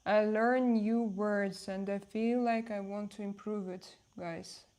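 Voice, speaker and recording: Slow-paced woman with a Russian accent. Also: medium pitch, steady tone, a little mellow. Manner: halting, repeats phrases. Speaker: livestream host. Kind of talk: casual livestream chat answering viewers' comments.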